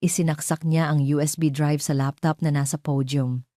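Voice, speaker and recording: A woman with a Filipino accent narrating a scripted romance drama in deliberate, dramatic short phrases. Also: sits low, level tone, mellow, no room sound, clean studio sound. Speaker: storyteller.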